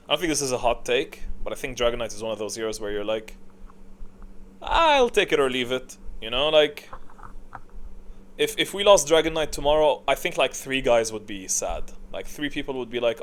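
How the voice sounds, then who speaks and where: A man's voice: mid-range voice, fairly bright tone. A man giving casual, unscripted gaming tier-list commentary in a rambling, opinionated way. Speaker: video game content creator.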